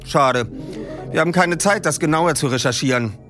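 A man's voice: fairly high pitch, normal speed, a bit bright, faint music behind, pitched above the performer's own voice, lightly acted. A man reading a book aloud and raising his voice to play an eager teenage boy who does the research for a detective trio.

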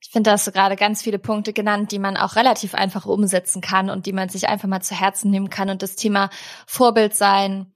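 A woman's voice: medium pitch, level tone, fast talker, no room sound, studio quality. A woman hosting a podcast interview, asking questions in a friendly, complimentary manner. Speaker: podcast host. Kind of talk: casual interview talk.

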